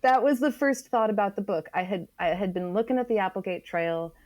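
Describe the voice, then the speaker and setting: A woman speaking as an interview guest, earnest and reflective, deliberately repeating phrases for emphasis. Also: mid-range voice, a little mellow, dry recording. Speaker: interview guest, environmental writer.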